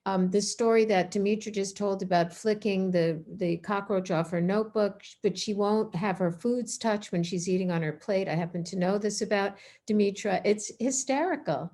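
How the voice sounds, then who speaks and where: Woman panelist on a video call, chatty and warm-hearted, mid-pitch. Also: normal speed, few pauses. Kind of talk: casual panel discussion.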